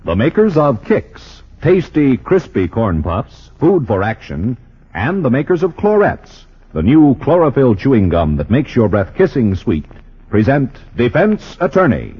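A male 1950s radio announcer with a very animated, dramatic delivery and a rough-edged voice. The old broadcast is muffled, with some music behind. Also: mid-range voice, a little slow. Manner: formal, dramatic emphasis.

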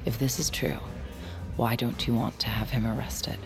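An actress playing a troubled, secretive woman in love, hesitant and hushed, in an intimate audio drama. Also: very deep voice, fairly even intonation, normal speed, music in the background. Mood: wary, hurt. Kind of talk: intimate audio drama acting and confessional narration.

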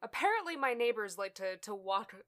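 Woman, bright high voice, dry studio-like sound; wry, sweary podcast guest chatting informally with exasperated interjections. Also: very animated, a little fast, no echo. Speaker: podcast guest and audio drama creator. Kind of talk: casual chat.

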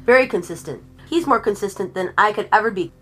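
A woman's voice: mid-range voice, animated, quick pace, a bit bright, slightly rough. A woman talking casually as a podcast guest, chatty and agreeable. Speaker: guest, channeler.

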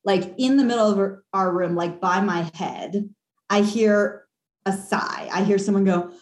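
A young woman telling a ghost story on a podcast call, animated and laughing, with lots of fillers. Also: medium pitch, unhurried. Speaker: caller. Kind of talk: casual storytelling. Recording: echoey room.

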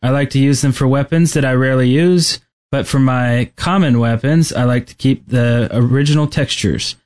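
Male podcast co-host, medium-pitched voice, informal gaming chat with a joking streak. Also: moderate pace, a little mellow.

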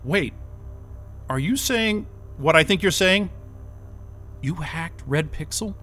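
An actor playing a flustered, cynical jobless tech worker in an audio drama, blurting and halting as he is questioned. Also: fairly high pitch, expressive, sharp tone, soft background music, exaggerated voice. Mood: hopeful disbelief. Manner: exasperated, sweary, blurting and halting. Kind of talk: naturalistic audio drama acting.

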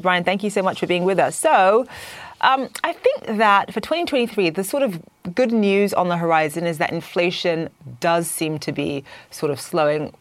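A woman anchoring a business news show, speaking in a brisk, polished way. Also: low-pitched, dry recording.

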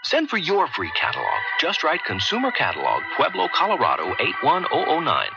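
A man's voice: on the high side, wildly swooping pitch, a little fast, creaky, full character voice. An actor playing an amiable handyman in a 1970s radio advert. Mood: amiable, informative. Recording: dull old radio, loud music.

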